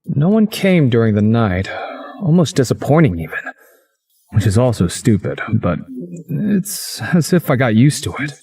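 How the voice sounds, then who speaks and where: A man's voice: mid-range voice, very animated, normal speed, warm tone, husky, soft background music. A man playing a wry teenage schoolboy who narrates his own supernatural visual novel story. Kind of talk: first-person visual novel narration and dialogue.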